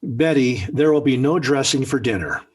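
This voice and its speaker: A man's voice: mid-range voice, expressive, moderate pace, husky. An actor playing an exasperated, blustering middle-aged father in an emphatic, flustered 1940s radio sitcom style. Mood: grumbling, stubborn. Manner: emphatic, flustered, sputtering indignation. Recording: old 1940s radio recording.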